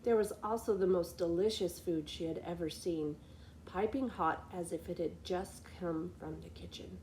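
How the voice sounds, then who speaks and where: A woman reading a children's fairy tale as a storyteller, with expressive scripted narration. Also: fairly low pitch, moderate pace, a little hiss or hum, rough recording. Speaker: library storyteller.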